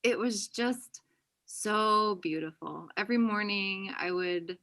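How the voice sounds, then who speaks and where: A woman's voice: mid-range voice, slow pace, sharp tone. A woman in her thirties playing a blunt, chatty female friend in a casual table read over a video call. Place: indoor room on a video call.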